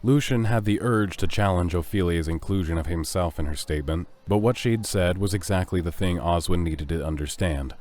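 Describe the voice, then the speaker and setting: Deep-voiced male story narrator. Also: brisk, hardly any pauses, darkish tone.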